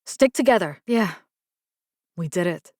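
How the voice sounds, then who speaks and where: A woman's voice: on the low side, animated, measured pace, darkish tone, dry recording, studio quality. An actress voicing a wry, determined, compassionate young woman who hunts monsters in a video game, speaking conversationally with muttered asides. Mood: focused, determined. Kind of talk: video game character voice lines.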